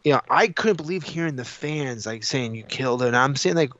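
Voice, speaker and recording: A man co-hosting a podcast, talking casually and opinionatedly, repeating himself as he retells things in an animated way. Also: mid-range voice, brisk, dry recording. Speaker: podcast co-host. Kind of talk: casual opinionated panel chat. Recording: dull lo-fi audio.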